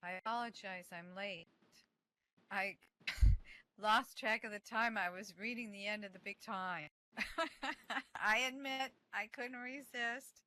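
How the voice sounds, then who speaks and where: A woman's voice: mid-range voice, takes it slowly, long pauses, bright, forceful tone, no room sound, rough recording. A woman chatting casually as she runs a reading group, with lots of fillers and laughs. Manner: hesitant, many fillers, laughs often. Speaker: organizer of an online reading group. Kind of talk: casual meeting chat.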